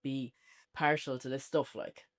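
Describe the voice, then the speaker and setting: A woman's voice: very deep voice, level tone, unhurried. An Irish woman chatting casually as a podcast co-host, trading banter in an unscripted review. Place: indoors on a couch, podcast setup.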